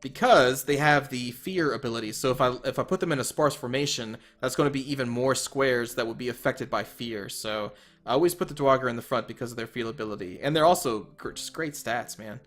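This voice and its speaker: A man commentating his own strategy game turn, thinking aloud and correcting himself, a little hoarse from losing his voice. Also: medium pitch, a little fast, fairly bright tone. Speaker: let's player.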